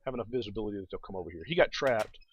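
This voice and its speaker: A man's voice: fairly low pitch, animated, rapid-fire. A man giving casual gameplay commentary as a let's play host, thinking aloud and talking to viewers. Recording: dull, noisy home recording.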